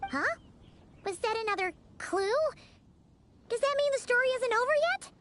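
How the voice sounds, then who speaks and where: A woman voicing a small, childlike, excitable fairy companion in a video game. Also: extremely high pitch, normal speed, slight background noise. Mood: puzzled, determined.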